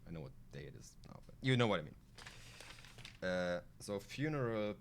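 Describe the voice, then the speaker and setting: A man's voice: fairly low pitch, very animated, moderate pace, slight background noise. A man from Germany with a German accent, a native German speaker, streaming a video game live with casual commentary, reading aloud and sweary, rambling asides.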